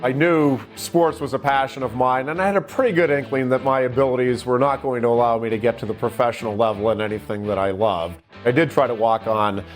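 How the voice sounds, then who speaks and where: A man's voice: mid-range voice, fairly even intonation, a little fast, few pauses, faint music behind. A middle-aged American man, a radio sports broadcaster, telling stories reflectively in an interview. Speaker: radio wrestling play-by-play broadcaster.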